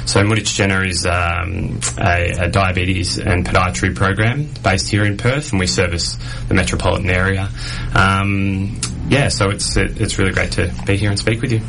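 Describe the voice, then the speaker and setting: A man's voice: low voice, steady tone, normal speed, creaky, few pauses, a bit bright, slight background noise, low-quality recording. A man from Geelong, a health worker speaking as a radio guest in a studio, friendly and easygoing. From Geelong, Australia. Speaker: podiatry program worker.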